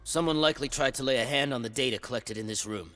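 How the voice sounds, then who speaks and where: A man's voice: medium pitch, fast, sharp tone, close to an everyday voice. An actor playing a tired security guard who talks casually and grumbles about his boss. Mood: grumbling.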